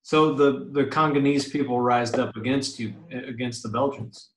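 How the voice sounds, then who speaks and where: A man's voice: mid-range voice, steady tone, moderate pace, echoey room, a little hiss or hum, low-quality recording. A young American man hosting a casual video call interview, eager and informal, with lots of likes and ums. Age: young adult. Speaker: podcast host. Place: home room indoors. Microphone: video call webcam.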